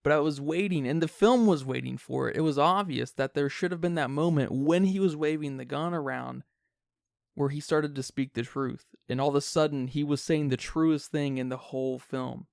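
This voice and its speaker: Creaky-voiced man discussing film. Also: fairly high pitch, normal speed, no echo, studio quality. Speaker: podcast co-host.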